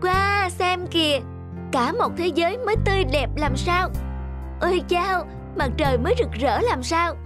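A woman's voice: very high-pitched, lively intonation, moderate pace, music in the background, pitched above the performer's own voice. A woman voicing a naive little wild flower in a children's cartoon, full of wistful wonder.

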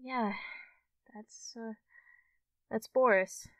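Slow, high-voiced, friendly fox girl, played by a woman. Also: fairly even intonation, a bit bright, no room sound, far from the mic. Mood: casual, hesitant. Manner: hesitant, halting, giggly, addressing the listener as a friend. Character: friendly young fox-tailed cartoon studio girl, shy, easily excited. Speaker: roleplay performer. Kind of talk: ASMR character roleplay.

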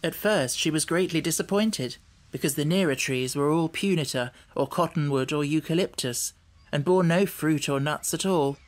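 Measured male storybook narrator. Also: on the high side. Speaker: audiobook narrator.

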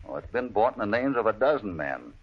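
An actor playing a calm, plain-spoken, heroic cowboy in an old-time Western radio drama, with an American accent and measured, assured delivery. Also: male voice, fairly low pitch, husky. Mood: explaining. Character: calm, steady, heroic cowboy lawman type. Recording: muffled vintage radio recording.

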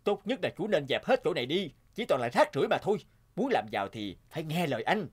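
A man's voice: a little high, sing-song, quick pace, slightly rough. A man voicing a cocky, boastful young gambler in a cartoon, swaggering and scornful as a bossy older brother. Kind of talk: animated moral tale dialogue.

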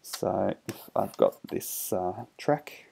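Low, gravelly-voiced man demonstrating gadgets. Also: slow, mellow, slight background noise. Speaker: electronics hobbyist presenter. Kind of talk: casual hands-on product demo narration.

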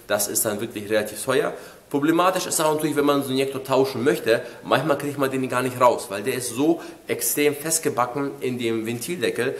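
A man in his thirties, slightly congested with a cold, explaining things informally and directly to camera as a car mechanic. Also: medium pitch. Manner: colloquial, direct, matter-of-fact. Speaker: car workshop mechanic presenting. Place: car repair workshop, indoors. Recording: echoey workshop room.

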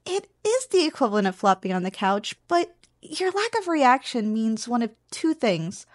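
Woman acting a teasing, high-voiced slime girl. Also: very animated, normal speed, dry recording, clean studio sound. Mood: playful teasing. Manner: playful, coaxing, intimate address to the listener. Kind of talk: ASMR roleplay.

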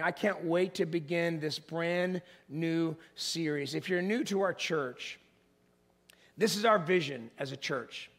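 A middle-aged male pastor with a gravelly, hoarse voice, preaching an animated sermon from a church stage. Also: on the high side. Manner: animated, gesturing while pacing the stage, rising to emphatic peaks. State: hoarse, voice strained. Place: large church stage with an audience.

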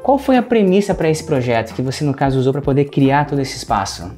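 A man's voice: mid-range voice, very animated, fast talker, a little mellow. A man in his thirties hosting a home product video, explaining features fluently in a large modern kitchen. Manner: fluent, explanatory, many fillers like "enfim". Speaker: host. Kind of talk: presenting a product walkthrough. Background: faint music.

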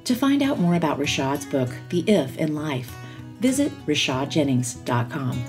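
A woman hosting a podcast, reading a scripted guest introduction in a smooth, measured way. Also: low-pitched, sing-song, darkish tone. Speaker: podcast host. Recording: guitar music underneath.

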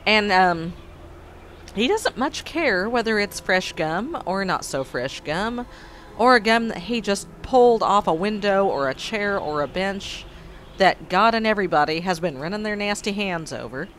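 A man hosting a let's play, hoarse and froggy after being sick, making wry, sarcastic asides over the game. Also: sits high, animated, measured pace, fairly bright tone, a little hiss or hum. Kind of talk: casual game commentary. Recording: faint game music behind.

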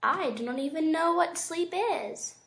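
A man's voice: very high voice, animated, measured pace, creaky, a bit bright, voice pushed up, full character voice. A man voicing a blunt, scornful living wooden sawhorse in a storybook. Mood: matter-of-fact.

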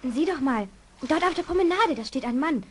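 A curious, excitable child in an old children's radio play. The voice is high, with faint noise in the background. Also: female voice, a little fast. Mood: excited.

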